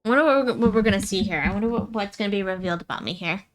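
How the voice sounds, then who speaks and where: A woman's voice: medium pitch, lively intonation, racing. A woman live streamer chatting casually about a game, often repeating phrases for emphasis. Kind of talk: casual gaming stream chat.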